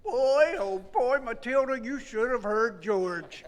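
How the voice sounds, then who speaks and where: Older man doing a folksy jovial old uncle, high voice, slow drawl, theater reverb. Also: animated, heavily acted. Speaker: stage actor in a live radio play. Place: theater stage. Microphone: vintage stand microphone.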